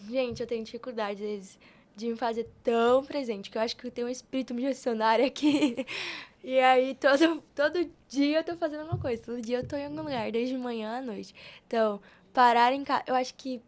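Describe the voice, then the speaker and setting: A young woman with a Brazilian accent chatting informally and telling personal stories on a podcast. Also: fairly high pitch. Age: young adult. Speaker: podcast guest. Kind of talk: casual podcast conversation.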